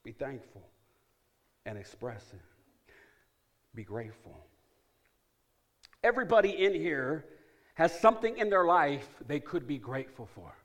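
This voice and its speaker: Emphatic preaching man, fairly high voice. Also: sing-song, measured pace, long pauses. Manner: emphatic preaching, repeats phrases, swings from loud to hushed. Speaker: pastor. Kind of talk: sermon.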